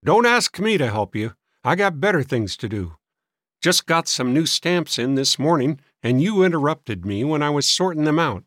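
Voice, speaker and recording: A man voicing an irritable, suspicious old gentleman in an audiobook, testy and scoffing. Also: on the high side, very animated, moderate pace, no room sound, studio quality, pitched above the performer's own voice. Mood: dismissive. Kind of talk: audiobook character voice.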